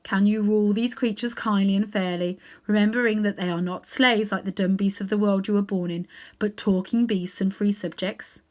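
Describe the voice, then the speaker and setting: Woman doing a grave kind lion, slow and commanding, storybook style. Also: medium pitch, steady tone, a little mellow, natural voice. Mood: solemn. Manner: solemn, measured, commanding.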